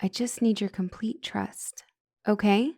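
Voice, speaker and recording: A woman playing a flustered, smitten doctor and couples counselor in an ASMR roleplay drama, hesitant and breathy. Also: mid-range voice, steady tone, a little slow, darkish tone, no echo, clean studio sound. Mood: coaxing, thoughtful.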